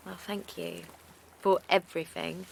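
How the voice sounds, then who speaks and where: A frazzled, exhausted young mum. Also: female voice, fairly low pitch, gravelly, background noise, heavily acted. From Essex. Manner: anxious, apologetic, rambling, often half-whispered. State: worn out. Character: exhausted young mother of a colicky newborn. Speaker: new mother. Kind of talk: naturalistic audio drama acting. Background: faint outdoor seaside ambience.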